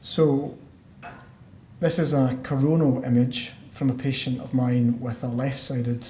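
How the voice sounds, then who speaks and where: A Scottish man, a lung doctor, giving a measured medical conference talk with frequent fillers and restarts. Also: medium pitch, flat delivery, dark tone. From Scotland. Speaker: pulmonologist giving a conference lecture. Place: conference hall. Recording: roomy hall sound, faint background noise.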